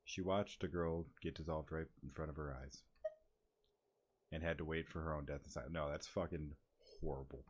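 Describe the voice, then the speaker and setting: Male gaming streamer with a deep voice who reads on-screen text and reacts off the cuff. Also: fairly even intonation, normal speed. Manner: reads dialogue aloud, breaks off to react and swear. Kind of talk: reading game text aloud with casual reactions.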